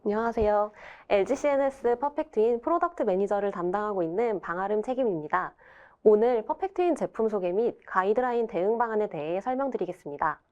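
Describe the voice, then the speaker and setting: A woman's voice: mid-range voice, animated, fairly quick, a little mellow, highs cut off. A woman introducing herself politely and formally as a webinar presenter. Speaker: presenter, product manager.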